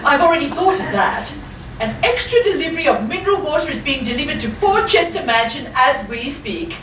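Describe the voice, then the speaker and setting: A woman's voice: high voice, expressive, fairly quick, fairly bright tone, pitched above the performer's own voice. A woman playing a loyal, snobbish chauffeur in an amateur radio comedy, deferential and knowing with her lady. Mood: deferential, wary. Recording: roomy, distant live recording, faint noise.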